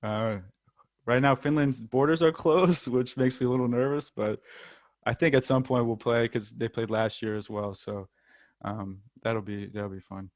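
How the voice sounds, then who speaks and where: A man's voice: medium pitch, steady tone, moderate pace, no room sound, clean studio sound. A young American man, a football player, chatting casually in an interview with frequent ums and the odd light laugh. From United States.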